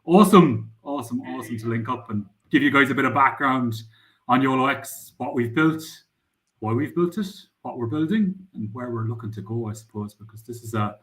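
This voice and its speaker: Irish founder, middle-aged man, loose rambling talk, mid-pitched voice. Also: expressive, brisk, fairly bright tone, rough recording. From Ireland. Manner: rambling, frequent "I suppose" fillers. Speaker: startup founder. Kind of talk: casual podcast interview.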